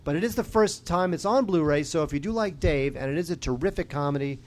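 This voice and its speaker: A man's voice: on the high side, fast talker, a little hiss or hum. A man co-hosting a podcast, giving informal, opinionated film reviews in casual conversation. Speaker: podcast co-host reviewing films.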